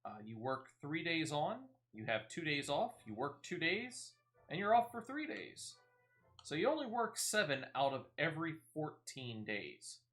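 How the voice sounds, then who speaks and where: A man's voice: fairly high pitch, sing-song, a little slow, fairly bright tone. A man giving casual, rambling, playful gameplay commentary as a video game streamer.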